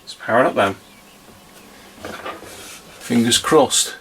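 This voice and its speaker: A man's voice: mid-range voice, slow, fairly bright tone. A man giving a casual hands-on demonstration, thinking aloud with some hesitation. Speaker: vlogger testing old radios. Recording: very noisy with radio static and clicks.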